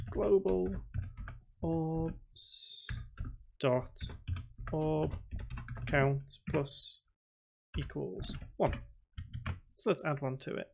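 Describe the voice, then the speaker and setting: A man's voice: fairly high pitch, vocal fry, a little hiss or hum. A man hosting a casual live stream, thinking aloud as he codes and reading chat questions aloud. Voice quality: self-described boring voice. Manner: talks to himself while typing, reads chat aloud.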